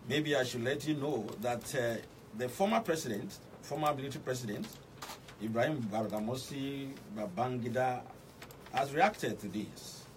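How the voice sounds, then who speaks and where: A man's voice: mid-range voice, moderate pace. A man with a Nigerian Yoruba accent hosting a live radio current affairs programme as its presenter. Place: radio studio. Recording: noisy, distant-miked radio studio capture.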